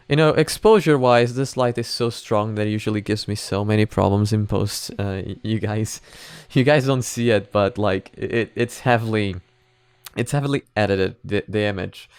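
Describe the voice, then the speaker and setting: A man's voice: fairly low pitch, smooth, no room sound. A man hosts a reaction video in a casual, rambling way, circling back over his points. His voice is clean and resonant, recorded dry in a home setup. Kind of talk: casual reaction commentary to camera.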